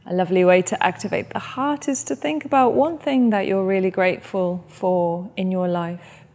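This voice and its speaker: A woman who teaches yoga, leading a guided meditation in a soft, gentle, unhurried way. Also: mid-range voice, in a large hall. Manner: soft, slow and gentle guidance.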